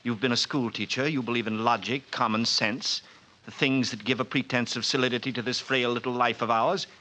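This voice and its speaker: A man acts out a haunted middle-aged artist who cannot sleep, in a bright, ringing voice. He speaks intensely and pleadingly, with the theatrical flair of 1940s radio drama. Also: mid-range voice, fairly even intonation, a little fast, lightly acted.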